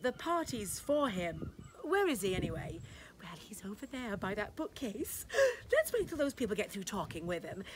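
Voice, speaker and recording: A woman voicing a polite, uncomfortable party host who answers in low, quiet, clipped replies, in a dramatic short-story reading. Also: big pitch swings, moderate pace, crowd noise, lightly acted. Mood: reserved.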